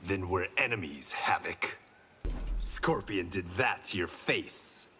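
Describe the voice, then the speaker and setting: A resolute warrior for a fighting game. His low voice moves with animated, confrontational firmness. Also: takes it slowly, slight background noise, full character voice. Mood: stern. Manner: firm, confrontational. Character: stern, resolute male warrior defending his realm. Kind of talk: dramatic fighting-game acting.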